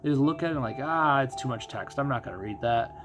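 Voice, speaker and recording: A man's voice: medium pitch, animated, fast. A man doing casual live commentary as a game streamer, explaining things conversationally and thinking aloud.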